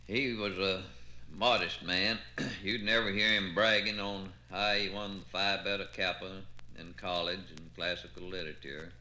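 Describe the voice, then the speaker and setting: A man narrating folksy spoken-word tales with unhurried asides. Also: sits low, pushed and bright. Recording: roomy echo, music behind.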